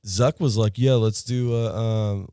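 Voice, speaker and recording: A man's voice: lowish voice, unhurried, smooth, no echo. A man co-hosting a podcast, talking bluntly with plenty of swearing in a casual rant. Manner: blunt, profane, animated ranting. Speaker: podcast co-host. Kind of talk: casual chat.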